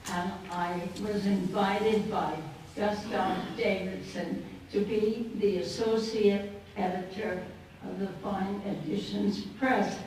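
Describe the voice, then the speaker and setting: A woman, addressing an audience with personal stories in short, deliberate phrases. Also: lowish voice, a little mellow. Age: early 90s. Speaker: poet and guest speaker. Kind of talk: personal anecdotal speech to an audience. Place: indoor conference banquet room. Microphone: microphone, sounding distant. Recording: very echoey hall, distant, faint noise.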